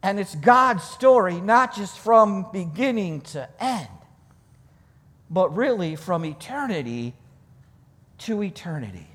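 A man delivers a sermon in a high voice, taking his time over each phrase. His delivery is deliberate and emphatic, repeating key words for weight. The sound carries the long reverberation of a large hall. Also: sing-song, fairly bright tone, slightly rough. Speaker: preacher. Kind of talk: sermon with scripture reading.

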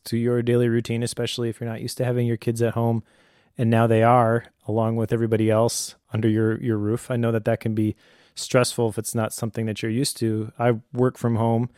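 A middle-aged man speaks with a warm, relaxed tone in a dry recording. He offers advice conversationally, as a host would, in an earnest and measured way that encourages the listener. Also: medium pitch, smooth, dry recording. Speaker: podcast host, homeschooling father.

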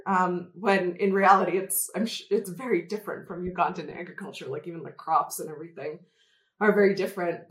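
Lower-voiced woman in a roomy space. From Canada. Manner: thoughtful, hesitant, many filler pauses. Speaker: interview guest, development consultant. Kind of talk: conversational interview answers. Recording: roomy echoey room.

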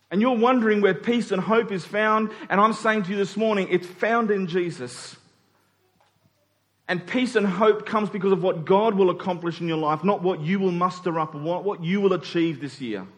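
Preacher in a reverberant hall. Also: male voice, high-pitched. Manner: earnest, emphatic, with repeated phrases and deliberate pauses. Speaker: pastor preaching to his congregation. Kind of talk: sermon. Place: church hall during a service.

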